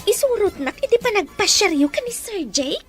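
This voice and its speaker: Feisty best friend for radio drama, played by an actress: sassy young woman, extremely high pitch, animated, brash. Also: moderate pace, faint music behind. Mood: eager. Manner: brash, teasing. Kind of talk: Ilocano radio drama acting.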